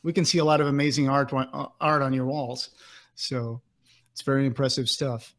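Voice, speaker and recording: A man's voice: medium pitch, flat delivery, moderate pace, fairly bright tone. A man in his thirties asking casual interview questions as a podcast co-host, close on a desk microphone in a home office. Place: home office, indoors, on a video call. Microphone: close desk microphone with pop filter.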